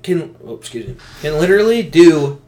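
A man's voice: on the high side, sing-song, moderate pace, darkish tone, poor audio. Rambling, slangy man venting casually. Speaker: podcast co-host. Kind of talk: casual gaming podcast chat.

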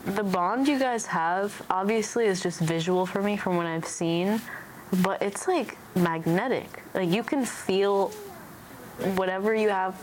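A woman's voice: mid-range voice, unhurried, rough recording. A young woman hosting a casual chat, speaking in a supportive, affirming way. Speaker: podcast host. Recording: faint background noise, ambient sound.

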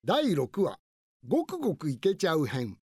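A woman narrating a light radio comedy in a measured, presentational scripted style. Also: deep voice, wildly swooping pitch.

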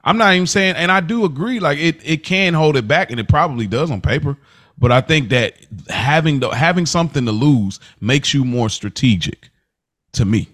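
A man's voice: fairly high pitch, expressive, moderate pace, fairly bright tone, no echo, studio quality. An American man hosting a casual podcast about a TV series, talking in a slangy, conversational way and repeating phrases to make his point. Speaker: podcast host.

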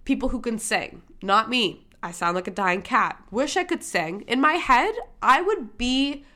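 A woman's voice: fairly high pitch, animated, a little slow, sharp tone. Candid, swearing woman podcast host. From Michigan, USA. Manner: candid and emphatic, swears casually. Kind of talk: casual motivational monologue.

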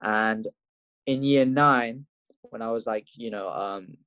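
A man's voice: on the low side, slow, fairly bright tone, smooth, no room sound, highs cut off. A young man from Russia with an English accent, a fighter telling his story in a casual interview, rambling with lots of fillers and restarts. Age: young adult. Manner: rambling, laid-back, many fillers and restarts. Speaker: MMA fighter guest.

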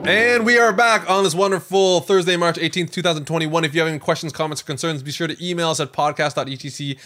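A man's voice: fairly high pitch, fairly even intonation, quick pace, bright, forceful tone, soft background music. A man co-hosting a podcast in casual, jokey, self-deprecating chat. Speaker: podcast co-host.

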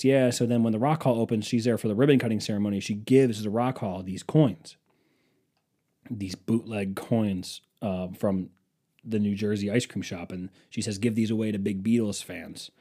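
A man's voice: fairly low pitch, steady tone, moderate pace, mellow. A man in his twenties telling a long, wandering story to his phone camera in a museum, profane and self-mocking. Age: 20s. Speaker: vlogger. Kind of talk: rambling casual storytelling. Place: museum exhibit, indoors.

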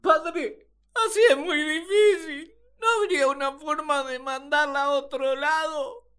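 A woman's voice: sits high, fairly even intonation, measured pace, a bit bright. A woman playing Adam as an exasperated, naive and plaintive first man in a comic radio drama. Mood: whining pain.

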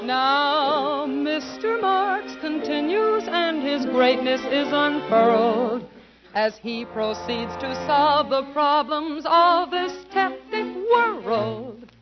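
A woman's voice: sits high, animated, takes it slowly. A woman singing and bantering playfully in a 1940s radio comedy. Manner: sing-song, playful. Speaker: singer and panelist. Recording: dull old radio broadcast with music.

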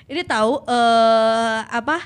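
A woman's voice: a little high, steady tone, slow, clean tone. A young woman with a Jakarta Indonesian accent, co-hosting a casual chat in a jokey, slangy way. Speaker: podcast co-host.

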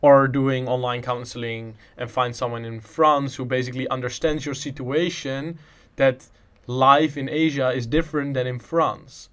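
A man from the Netherlands talks in English, his second language, at an ordinary pitch. He rambles through his points in loose, casual conversation, often restarting his sentences. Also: fairly even intonation, normal speed, fairly bright tone. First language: Dutch. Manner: rambling, many restarts and "in a sense".

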